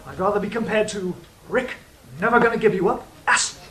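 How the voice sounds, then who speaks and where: A woman performs a jaded, proud trickster angel in a stage-style drama. Her mid-pitched voice is dry and sardonic. There is some background noise behind her. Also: brisk, natural voice. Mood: mock indignant.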